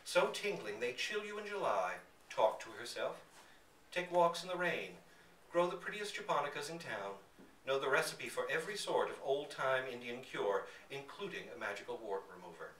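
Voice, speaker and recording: Man, expressive storytelling, deliberate theatrical delivery, forceful bright tone, roomy echo, faint background noise. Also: mid-range voice. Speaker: reader at a live literary reading. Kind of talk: expressive scripted story narration. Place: bookstore public reading. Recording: echoey room, distant mic, faint background noise.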